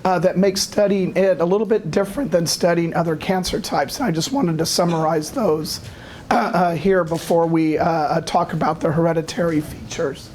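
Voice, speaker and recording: A man giving a lecture, hoarse from a cold, halting often with filler sounds and clearing his throat. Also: on the high side, fairly even intonation, darkish tone, a little hiss or hum. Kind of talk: academic lecture.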